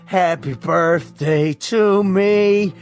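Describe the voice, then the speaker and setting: An actor playing a middle-aged American husband, tipsy, bitter and defiant, in a mid-century TV drama. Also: male voice, high voice, drawn-out words, soft background music, exaggerated voice. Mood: defiant, ranting. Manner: defiant, sarcastic, rambling. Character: drunken, bitter middle-aged husband at his birthday party.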